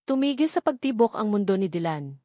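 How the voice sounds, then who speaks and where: A Filipino woman narrates a dramatic scripted love story. Her voice sits at a middle pitch for a woman, and the recording is dry, with hardly any room sound. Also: big pitch swings, fairly quick, dark tone, no echo.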